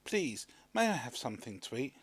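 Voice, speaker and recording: A man's voice: mid-range voice, very animated, brisk, husky, no echo. A man voicing a tired, hungry traveller, polite and persuasive, in a storybook. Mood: pleading. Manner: polite, coaxing.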